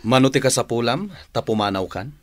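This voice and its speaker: A man's voice: medium pitch, brisk, dry recording, lightly acted. A man playing a sneering, sarcastic and hostile grown son in an Ilocano radio drama.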